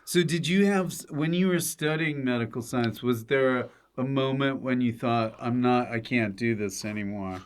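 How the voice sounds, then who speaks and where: A man hosting a relaxed, conversational comedy interview. He speaks in a middle-pitched voice and works in short questions and playful interjections, bantering with his guest. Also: normal speed, hardly any pauses, clear, resonant tone. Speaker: podcast host. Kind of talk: casual comic interviewing.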